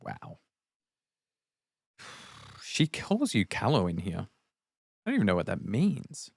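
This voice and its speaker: A dumped young man grumbles and sighs in a low, downbeat voice as a man acts him out in a table read. He sounds miserable and fed up, recorded dry and close. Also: huge pitch swings, slow, gravelly, no room sound, heavily acted. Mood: glum, subdued. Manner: sighing, downbeat. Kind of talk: table read of a film comedy scene played sad.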